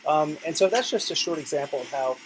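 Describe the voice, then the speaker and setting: A man's voice: a little high, fast, heavy background noise. Ill American man talking from bed. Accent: American, Oklahoma. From Oklahoma, United States. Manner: relaxed, chatty, many fillers and restarts. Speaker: digital poet and professor. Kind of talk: casual recorded video talk. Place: bedroom, lying in bed. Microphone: self-recorded video.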